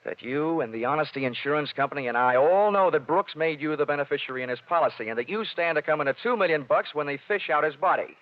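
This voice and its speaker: An American actor playing a wry, tough insurance investigator in a 1940s radio detective drama, with a clipped, sardonic delivery. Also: male voice, on the high side, fast talker, hardly any pauses, natural voice. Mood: pressing, hard. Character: wry, tough male freelance insurance investigator, hard-boiled. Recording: dull vintage 1940s radio recording.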